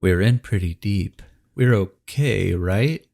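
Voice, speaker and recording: A man's voice: fairly low pitch, slow pace, gravelly, slight background noise. A man with a stuffy head cold, hosting a let's play and chatting casually as he plays and narrates. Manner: relaxed, chatty, thinks aloud while playing. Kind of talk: game commentary and voiceover narration. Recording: faint game music behind.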